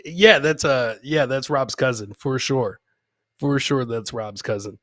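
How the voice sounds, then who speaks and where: A man's voice: medium pitch, a little slow, fairly bright tone, dry recording, clean studio sound. A middle-aged man hosting a comedy podcast, chatting casually and swearing, repeating phrases for emphasis and playing to his audience like a showman. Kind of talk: casual live-stream comedy chat.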